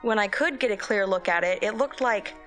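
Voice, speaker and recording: A woman's voice: medium pitch, fairly quick, fairly bright tone, faint music behind, close to an everyday voice. An actress playing a wry, plucky woman in an audio drama, sardonic and questioning. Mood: uneasy.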